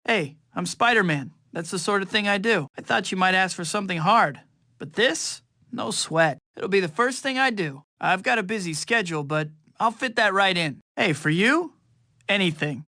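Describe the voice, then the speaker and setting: A young American male superhero for a video game. His voice sits high and forward with a crisp, bright edge, recorded very dry. He tosses out cocky, friendly wisecracks in a boyish, conversational way. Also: dry recording, exaggerated voice. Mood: eager, reassuring. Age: young adult. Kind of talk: video game voice lines, short quips.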